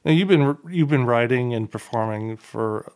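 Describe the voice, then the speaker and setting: A man's voice: medium pitch, expressive, moderate pace, darkish tone, smooth. A man interviewing a musician, asking questions hesitantly with fillers and restarts.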